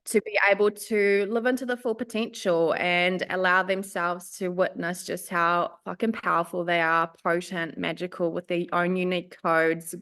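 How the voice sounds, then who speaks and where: A woman from Finland with a New Zealand accent speaks in a slightly low voice. She talks in a chatty, animated way as an interview guest, sprinkling in ums and light laughs. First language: Swedish and Finnish. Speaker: guest, spiritual life coach. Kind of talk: casual podcast interview.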